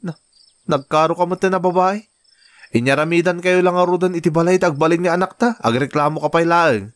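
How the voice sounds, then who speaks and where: A man playing a young provincial husband, fond but quick-tempered, in a radio drama with an Ilocano accent. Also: a little high, no echo, lightly acted. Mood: irritated.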